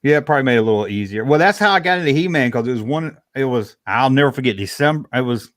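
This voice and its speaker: A middle-aged man from the American South chats as a guest on a livestream, with a clear Southern accent. His talk is folksy and colloquial, in a medium-pitched voice, and the sound is close and dry. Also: lively intonation, racing, a bit bright, dry recording. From Georgia, US South. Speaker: livestream guest, toy collector. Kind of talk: casual chat, answering viewer questions.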